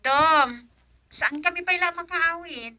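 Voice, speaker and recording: Radio comedy role: chatty Filipino woman, actress, piercingly bright and high, recorded close and dry. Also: slow pace, no echo, heavily acted. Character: chatty young woman named Rosa on a call. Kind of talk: Ilocano radio comedy drama acting.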